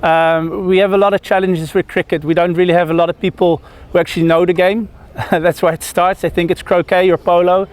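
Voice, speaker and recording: Cricketer from the Netherlands, thirties, a touch high-pitched, halting, full of ums and restarts, outdoor press scrum. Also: male voice, little pitch movement. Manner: hesitant, lots of fillers and restarts. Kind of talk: press interview.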